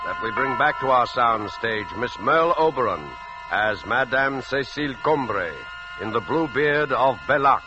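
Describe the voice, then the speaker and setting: A man announcing a 1940s radio programme in a formal, measured, dramatic manner. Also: mid-range voice, animated. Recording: dull old radio, loud music behind.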